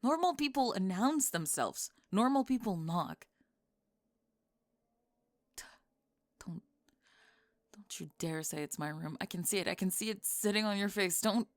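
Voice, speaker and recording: A woman performs a young goth college woman in an intimate ASMR audio drama. She is defensive and sharp-tongued, hiding a crush behind clipped, halting, emphatic phrases. Her voice sits at a medium pitch in a very dry, close recording. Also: animated, no room sound, natural voice. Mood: indignant, flustered. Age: young adult.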